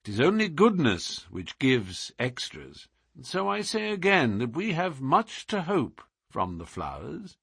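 Man playing a declamatory gentleman detective, very animated. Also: mid-range voice, unhurried, gravelly, breathy edge, no echo, clean studio sound, pitched above the performer's own voice, full character voice. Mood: musing, rapt. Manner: declamatory, philosophical, precise. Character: brilliant, musing, self-assured gentleman detective.

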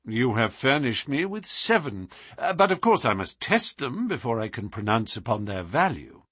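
A man voicing a brilliant, self-assured gentleman detective from a storybook, musing in a precise, declamatory and philosophical way. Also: mid-range voice, very animated, normal speed, husky, dry recording, studio quality. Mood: wry, assured.

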